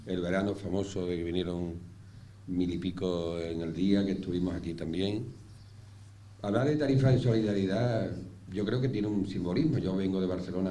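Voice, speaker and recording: Low, very dark-voiced man, halting delivery. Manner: halting, repeats words, pauses mid-sentence. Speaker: ombudsman, panel speaker. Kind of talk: extemporaneous panel speech. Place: indoor round-table conference room. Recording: echoey room with faint noise.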